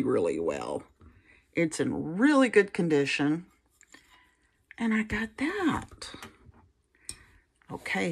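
Lively low-voiced woman chatting through a haul. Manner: chatty, rambling, frequent fillers and restarts. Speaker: vintage reseller host. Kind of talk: casual haul show-and-tell narration.

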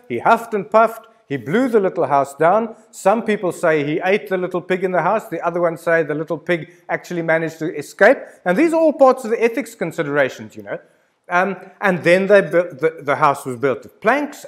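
An older man in his sixties delivers a conversational lecture. His voice sits a little high for a man and moves up and down with great animation. He addresses the listener directly and drives his points home emphatically. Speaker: university lecturer. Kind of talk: informal instructional lecture with storytelling examples. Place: indoor studio with plain backdrop.

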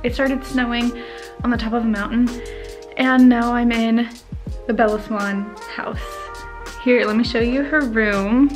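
Excited girl in her 20s filming herself, highish voice, lots of ums, music under it. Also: a little slow. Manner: bubbly, excited, filler-heavy. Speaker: travel vlogger. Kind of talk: casual vlog chat. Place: rental house indoors and forest outdoors. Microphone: handheld camera at arm's length.

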